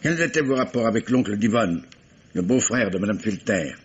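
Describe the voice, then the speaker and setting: An actor playing a courteous, dryly ironic French police commissioner, questioning in measured fashion in a 1950s-style radio drama. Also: male voice, fairly low pitch, animated, husky. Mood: probing. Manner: measured questioning with dry irony. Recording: dull vintage radio recording.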